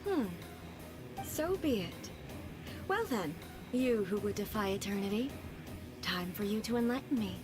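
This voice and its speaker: An actress voicing an aloof, commanding female ruler in a video game, speaking in a calm, measured and formal way. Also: medium pitch, music in the background, close to an everyday voice. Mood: cool, detached.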